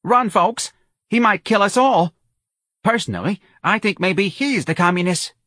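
A man voicing a fretful, nagging older working-class mother with husky, scolding, colloquial speech in an audiobook. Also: high-pitched, measured pace, pushed and bright, no echo, clean studio sound, pitched above the performer's own voice, heavily acted. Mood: alarmed. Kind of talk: audiobook character voice.

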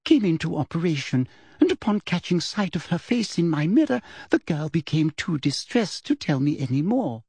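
A man's voice: on the high side, very animated, moderate pace, darkish tone, slightly rough, dry recording, voice pushed up, full character voice. A man voicing a simpering, falsely sweet woman official with a cruel streak underneath, as an audiobook character. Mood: smug.

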